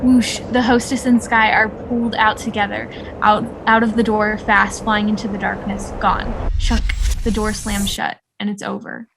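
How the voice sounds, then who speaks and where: A woman's voice: medium pitch, flat delivery, moderate pace, hardly any pauses, noisy background. A woman narrating the stage directions of a drama script at an online table read.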